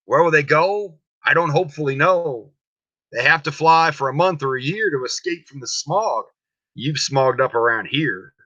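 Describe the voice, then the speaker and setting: A man's voice: fairly high pitch, moderate pace, bright, forceful tone. A man voicing an indignant, scolding old male forest creature in a storybook reading. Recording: dull lo-fi close recording.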